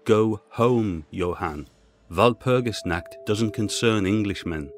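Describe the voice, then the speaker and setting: A man voicing a curious, headstrong English gentleman on his travels in a gothic audiobook. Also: fairly low pitch, slow, faint music behind. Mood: defiant.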